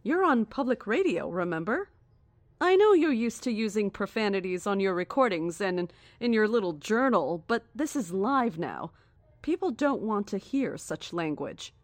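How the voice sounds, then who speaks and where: Give me an actress as a condescending female cult leader in a horror audio drama, grandiose and deliberate, with a mid-pitched voice in a dry, close space. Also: big pitch swings, dry recording, lightly acted. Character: serene, menacing female cult leader preaching cosmic doom. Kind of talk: horror audio drama acting, live radio address.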